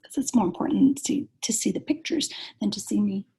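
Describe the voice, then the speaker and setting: A woman reading a children's storybook aloud in a measured, expressive storytelling manner. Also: medium pitch. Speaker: cantor reading a bedtime story.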